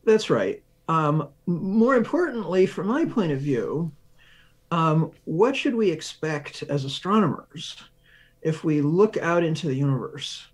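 Higher-pitched man explaining science. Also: big pitch swings, a little slow. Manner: thinks aloud, poses questions and answers them with examples. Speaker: research professor, interview guest.

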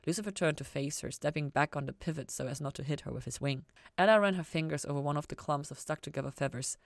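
A woman's voice: low voice, quick pace, gravelly, no room sound, studio quality. A woman reading scripted fiction aloud as a narrator. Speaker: fan fiction reader, podcast host.

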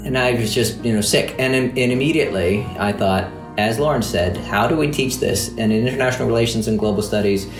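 A man's voice: medium pitch, fairly quick, hardly any pauses, reverberant, music in the background, rough recording. A man who teaches international relations, talking in a podcast conversation in a discursive way, with restarts and asides.

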